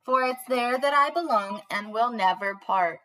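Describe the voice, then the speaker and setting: Bubbly high-voiced young woman teaching preschoolers. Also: normal speed, sharp tone. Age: young adult. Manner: bubbly, sing-song, addressing children directly. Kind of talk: read-aloud for young children. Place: small panelled indoor room.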